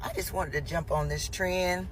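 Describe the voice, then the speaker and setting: A woman's voice: lowish voice, steady tone, moderate pace, slight background noise. A woman in her late forties chatting animatedly in a casual selfie video. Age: 40s.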